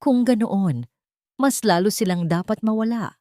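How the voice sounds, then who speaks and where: A woman's voice: mid-range voice, a little slow, dark tone, dry recording, studio quality, voice pushed up, natural voice. Woman reading as a cold, haughty old matriarch. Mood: cruel, commanding. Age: older. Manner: cold, commanding, scornful. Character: cold, contemptuous elderly rich matriarch, haughty and cruel. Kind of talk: dramatic audiobook character reading.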